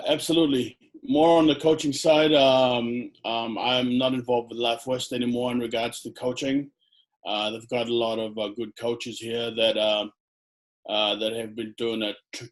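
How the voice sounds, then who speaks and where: Middle-aged guy from fiji, flat voice, lots of ums, headset on a video call. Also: mid-range voice, low-quality recording. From Fiji, raised in New Zealand. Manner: hesitant, many ums and uhs. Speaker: chiropractor guest. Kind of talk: casual interview answers. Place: indoor room on video call.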